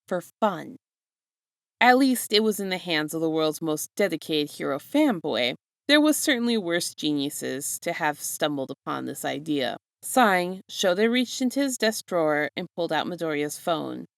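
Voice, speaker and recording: Low-voiced woman reading a story aloud. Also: big pitch swings, normal speed, vocal fry, dry recording, clean studio sound. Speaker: narrator reading fan fiction. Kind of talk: expressive scripted story narration.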